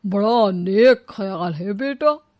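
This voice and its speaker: A woman's voice: medium pitch, expressive, a little mellow, no echo, studio quality. A woman voicing a gullible middle-aged father who drawls his approval in a storybook comedy. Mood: admiring. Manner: drawn-out, approving.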